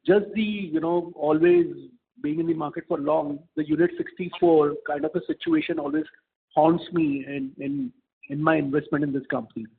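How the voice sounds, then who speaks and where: A man with an Indian accent and a husky, gravelly voice, speaking haltingly and politely as an investor on a conference call. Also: fairly high pitch, very animated. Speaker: investor caller. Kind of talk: unscripted earnings call question. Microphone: phone into conference call. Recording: dull lo-fi phone call.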